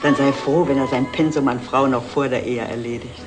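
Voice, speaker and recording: A woman's voice: rumbling low, fairly even intonation, a little fast, music in the background. An actress playing an indulgent, unruffled middle-aged mother in a 1960s German TV drama, with a teasing manner. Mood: teasing, advising.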